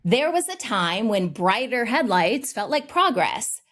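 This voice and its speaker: A woman narrating a news feature in an expressive, storytelling way. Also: fairly high pitch, measured pace, faint music behind.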